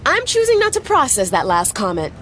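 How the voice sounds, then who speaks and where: A woman's voice: sits high, wildly swooping pitch, fairly quick, a bit bright, a little hiss or hum, exaggerated voice. A woman playing a brash, gloating rival wrestler in video game dialogue, cocky and smug.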